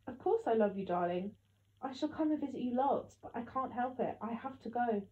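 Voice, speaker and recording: A woman's voice: on the high side, animated, brisk, narrow, tinny audio, close to an everyday voice. A woman voicing a grave, apologetic father in an audiobook, earnest and resolved.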